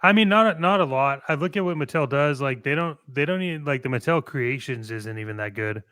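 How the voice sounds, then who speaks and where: A man's voice: mid-range voice, fairly quick, bright, forceful tone, smooth, dry recording, clean studio sound. A man co-hosting a casual talk show about toy collecting, speaking in a blunt, opinionated way.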